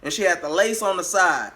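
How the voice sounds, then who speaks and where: A man telling a story in a casual vlog, animated and slangy, acting out bits of conversation as he goes. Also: sits high, moderate pace. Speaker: vlogger and amateur singer.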